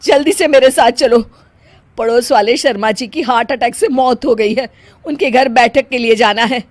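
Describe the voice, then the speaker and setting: A woman's voice: on the high side, moderate pace, no echo, natural voice. A woman playing an older, easily exasperated mother-in-law with an emphatic delivery in a comic story. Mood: brisk. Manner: emphatic, loud, scolding or calling out. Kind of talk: comic Hindi moral-story dramatization.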